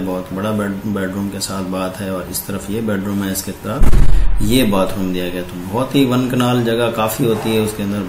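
A man from Pakistan walking through floor plans in a steady, explanatory voice-over, pointing out rooms one by one. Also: on the low side, a little hiss or hum. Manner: steady explanatory, repeating "ye dekhen" while pointing. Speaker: architect presenting house plans. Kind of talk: walkthrough narration of floor plans. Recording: roomy echo, faint ambience.

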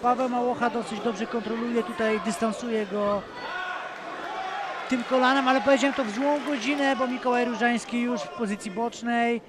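High-voiced male fight commentator, flat delivery. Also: noisy background. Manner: calm, measured, rises sharply at big moments. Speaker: play-by-play commentator. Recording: loud arena crowd behind. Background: crowd.

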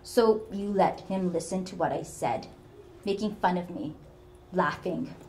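A woman voicing a cruel, bedridden old mother who snaps and blames her daughter in a shrill, scolding voice. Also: a little slow, darkish tone, slight background noise, natural voice. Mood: accusing.

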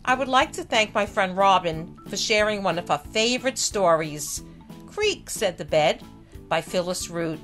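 A woman reading a children's picture book as storyteller, expressive, with deliberate dramatic pauses. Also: mid-range voice, faint music behind. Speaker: read-aloud host and storyteller.

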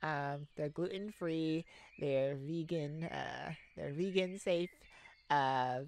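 A woman's voice: low-pitched, slow pace, darkish tone. A woman playing a silly, affectionate, giggly and bashful young boyfriend in an ASMR romance roleplay. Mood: gleeful. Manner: giggly, bashful, hesitant, gushing. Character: silly, playful, affectionate young boyfriend surprising his partner. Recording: clearly noisy, ambient sounds behind.

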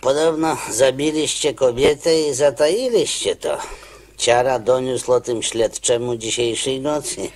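An actor in a radio play playing a weary, matter-of-fact prison boss, patient and familiar while being official. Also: male voice, medium pitch, unhurried, darkish tone, lightly acted. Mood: patient, reproving.

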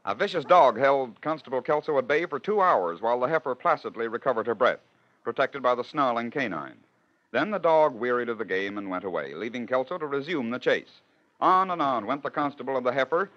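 A man's voice: mid-range voice, animated, brisk. American guy hosting an old 1940s radio show, scripted wry storytelling, muffled lofi sound. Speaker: radio program host and narrator. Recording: dull, lo-fi 1940s radio recording.

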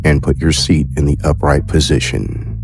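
A man's voice: rumbling low, moderate pace, gravelly. A man introducing a horror audio drama episode as its announcer, in a theatrical scripted manner. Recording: music behind the voice.

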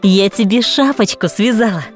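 A woman voicing a fussy, firm mother pig with an expressive, motherly manner in a children's toy cartoon. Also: medium pitch, normal speed, close to an everyday voice. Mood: coaxing. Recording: children's music over the voice.

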